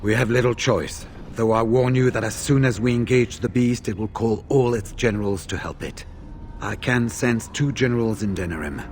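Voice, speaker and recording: A man acting a calm, serious veteran warrior in a video game, laying out orders in a measured, matter-of-fact way. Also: medium pitch, close to an everyday voice. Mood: serious, urgent.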